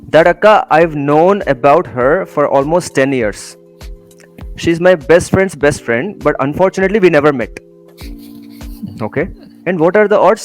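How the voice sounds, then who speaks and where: A young man from India talking through his own story in a casual interview, halting with many fillers and small laughs. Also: fairly high pitch. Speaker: guest.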